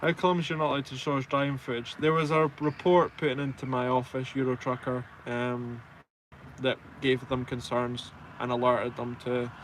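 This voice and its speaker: A Scottish man in his twenties talks in an average-pitched voice over faint vehicle noise. He hosts a live stream in a casual, chatty way, answering viewers as they come in. His banter is informal and self-deprecating. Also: little pitch movement, moderate pace, a bit bright, low-quality recording. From Scotland. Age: 20s. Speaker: truck driver and live stream host.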